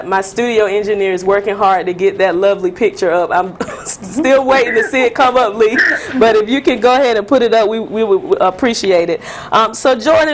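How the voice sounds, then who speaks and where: A woman's voice: mid-range voice, low-quality recording. An American woman hosting a live talk show from a studio, chatting informally and addressing viewers directly.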